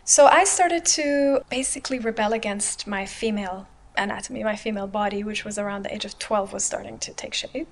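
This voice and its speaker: A woman's voice: medium pitch, brisk, few pauses, a little hiss or hum. Candid woman telling her life story. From Germany. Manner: open, reflective, frequent "you know". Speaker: podcast guest.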